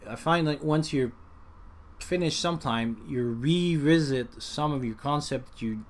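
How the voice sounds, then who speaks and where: A man talking off the cuff in a rambling, explanatory vlog style, often stopping to correct himself and restart his sentences. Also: fairly high pitch. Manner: halting, frequent self-corrections and restarts. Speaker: vlogger, amateur radio hobbyist. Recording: noticeable background noise.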